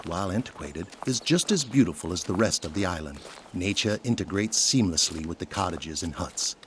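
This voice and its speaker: A man's voice: low-pitched, slight background noise. A man reading scripted dramatic narration for an audio drama in a descriptive storytelling manner.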